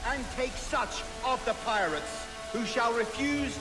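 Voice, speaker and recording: Echoing over loud music, a colonial governor with a high-set voice. He speaks formally and with measured weight, like an official addressing subjects in a historical adventure game. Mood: solemn proclaiming. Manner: formal, declamatory, measured oratory. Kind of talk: scripted video game acting. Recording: roomy echo under loud music.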